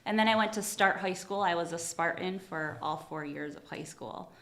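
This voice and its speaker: A woman's voice: on the low side, moderate pace, vocal fry, fairly bright tone, reverberant. A woman from Ohio working as an attorney, chatting casually in an interview, friendly and modest, laughing often. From Toledo, Ohio. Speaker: attorney, guest.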